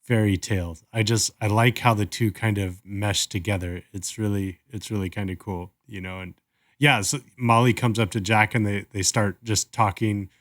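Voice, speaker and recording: Easygoing low-pitched man, dry studio-like sound. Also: steady tone, moderate pace, no echo. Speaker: podcast co-host. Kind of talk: casual review chat.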